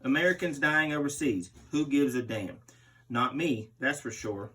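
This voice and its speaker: A man's voice: mid-range voice, normal speed, bright, forceful tone, close to an everyday voice. A man reading aloud as a brutal, boastful barbarian pro wrestler in a menacing promo style. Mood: menacing, defiant. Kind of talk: interview read aloud as a wrestler. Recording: distant microphone.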